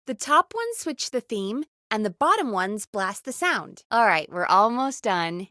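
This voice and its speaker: A woman playing a bossy but caring, exasperated radio show producer in a video game, brisk and expressive. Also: on the high side, no room sound, clean studio sound, exaggerated voice. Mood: patient instructing.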